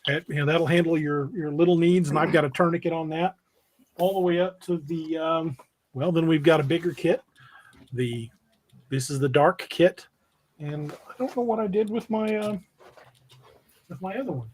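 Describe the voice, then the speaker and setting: This man has a slightly high voice for a male host. He talks in a casual, folksy livestream style, halting and doubling back on words as he works out what to say. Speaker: podcast host.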